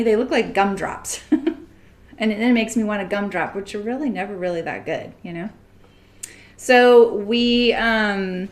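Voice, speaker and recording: A woman hosting a sewing livestream, chatting casually and greeting viewers by name, her voice a little scratchy. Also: medium pitch. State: slightly scratchy voice.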